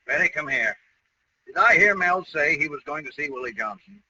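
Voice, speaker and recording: A man's voice: a little high, animated, normal speed, recorded from a distance, close to an everyday voice. An actor playing a suspicious, blustering middle-aged father in 1940s radio comedy. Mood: nosy. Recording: noisy, muffled old radio recording.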